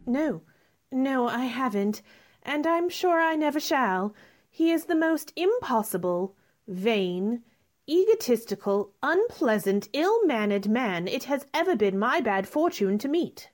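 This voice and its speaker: A spirited young gentlewoman, played by a woman in a radio play. Her high voice moves up and down a lot, and she is polite yet firm and outspoken. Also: sing-song, a little slow. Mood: indignant. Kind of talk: theatrical radio drama acting.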